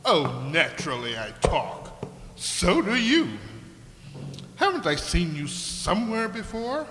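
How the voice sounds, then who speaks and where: An actor playing a haughty, chatty talking griffin in a comic radio play, with a pompous, airy, fussy manner. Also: male voice, high-pitched, very animated, slow, noisy background, heavily acted. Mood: airy, condescending. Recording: echoey hall with long reverb.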